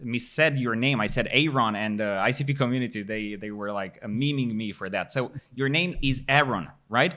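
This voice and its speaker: A man's voice: medium pitch, normal speed, hardly any pauses, bright, forceful tone. A man hosting a podcast, introducing guests and asking questions in a casual, effusive way, often repeating words. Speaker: podcast host.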